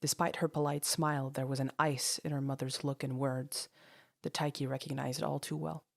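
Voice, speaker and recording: A female storyteller with a low voice narrates scripted dramatic fiction. The recording is very dry and close, with hardly any room sound. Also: little pitch movement, normal speed, vocal fry, dry recording.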